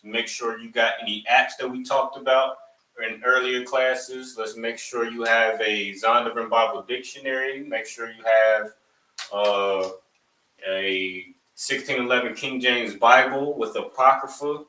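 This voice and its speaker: A man's voice: medium pitch, steady tone, a little slow, bright, forceful tone, a little hiss or hum. A man opening a class, loose and rambling, leaning on "you know what I'm saying", and rising to emphatic, preachy lines. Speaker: class instructor. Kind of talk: opening remarks to a live class. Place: classroom, also streamed online. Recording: echoey room, mic at a distance.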